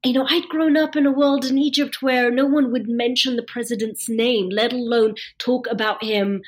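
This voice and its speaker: A woman from London with a British accent, a journalist talking through her experiences as a guest in an interview, animated and often repeating words as she thinks. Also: fairly high pitch, normal speed. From born in London. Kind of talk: conversational interview.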